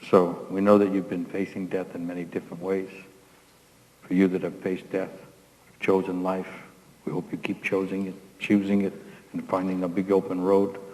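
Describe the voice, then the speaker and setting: Low-voiced man addressing a hall. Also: monotone, moderate pace, a little mellow. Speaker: ceremony leader. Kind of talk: spoken address to participants at a ceremony. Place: large hall with an audience. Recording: echoey hall, faint audience sounds.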